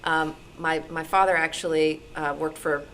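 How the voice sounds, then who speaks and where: A woman speaking informally and animatedly as a radio programmer answering questions, halting as she thinks aloud, recorded live at a meeting. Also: deep voice, steady tone, fairly bright tone, a little hiss or hum, rough recording. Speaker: radio show programmer taking audience questions. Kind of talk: informal talk with audience questions. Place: club meeting room.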